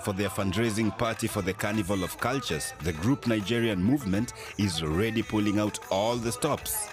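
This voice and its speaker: A man giving scripted narration for a news feature, measured and informative. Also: fairly low pitch. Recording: voice-over with music bed.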